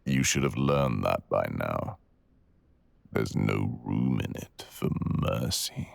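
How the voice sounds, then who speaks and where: A man playing a cruel, arrogant dragon villain in an intimate ASMR roleplay, gravelly and breathy, with a menacing, teasing drawl that slips into whispers. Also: very deep voice, lively intonation, takes it slowly, no echo, full character voice. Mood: cold, contemptuous.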